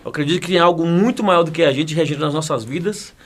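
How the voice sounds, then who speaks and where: A man's voice: on the high side, fast talker. A man, chatting as an interview guest who jokes and laughs often, repeating words as he talks. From Bahia, Brazil. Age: 40s. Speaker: guest, federal police chief and teacher. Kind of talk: casual interview talk.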